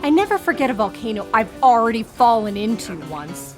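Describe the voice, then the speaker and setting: An actress playing a hard-bitten, world-weary secret agent in a comedic spy audio drama, deadpan and terse. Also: female voice, fairly high pitch, a little fast, over music. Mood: dry, focused. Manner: deadpan, terse, matter-of-fact.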